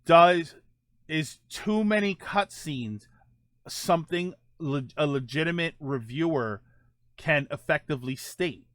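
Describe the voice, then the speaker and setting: A man's voice: on the high side, a bit bright, dry recording. A man hosting a gaming livestream, talking casually and answering viewer comments, often rephrasing his points as he goes. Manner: halting, circles back and rephrases points.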